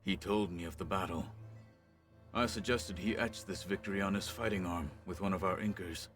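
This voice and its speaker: Deep-voiced stoic warrior played by a man. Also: steady tone, over music, natural voice. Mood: dry, measured. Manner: measured, formal, solemn.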